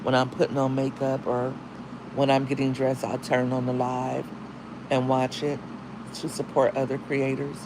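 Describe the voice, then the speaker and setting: A woman with a deep, husky morning voice, talking casually to viewers in a livestream as a single mom and content creator, with chatty, slangy asides. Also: completely flat delivery, moderate pace, background noise. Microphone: phone.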